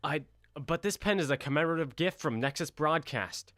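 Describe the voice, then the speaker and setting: A man's voice: fairly high pitch, lively intonation, a little fast, fairly bright tone, no room sound, clean studio sound. A man playing an earnest defense lawyer in an audio drama, urgent and easily flustered. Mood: insistent.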